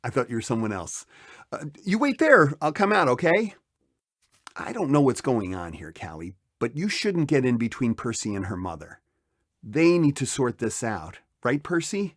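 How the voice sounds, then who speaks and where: A man plays a middle-aged dad in an audio drama, easygoing yet flustered. His pitch moves around a lot as he tries to placate people, and the recording is close and dry. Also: big pitch swings, normal speed, no room sound. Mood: flustered, placating. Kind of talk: scripted audio drama acting.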